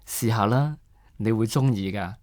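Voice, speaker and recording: A man's voice: fairly low pitch, unhurried, a little mellow, dry recording, clean studio sound. A man with a Hong Kong Cantonese accent reading scripted commercial narration as a voice-over artist.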